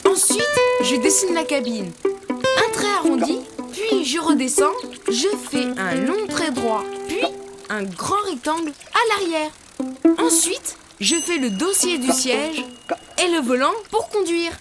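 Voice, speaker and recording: Young cartoon hero: high voice, kind, encouraging drawing guide, music loud underneath. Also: a little slow. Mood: instructive. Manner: calm, instructive, encouraging. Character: kind, helpful young cartoon friend who draws. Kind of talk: children's cartoon dialogue and drawing tutorial.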